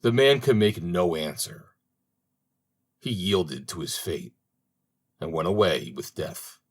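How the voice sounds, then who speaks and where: Lower-pitched man narrating a fairy tale. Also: very animated, slow pace, husky. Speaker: audiobook narrator.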